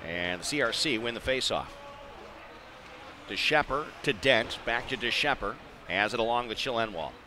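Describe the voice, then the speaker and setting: An American man gives live hockey play-by-play in an average-pitched voice. His delivery is conversational, built from short clipped phrases. Clear background noise from the ice rink sits behind him. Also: lively intonation, normal speed. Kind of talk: live sports commentary.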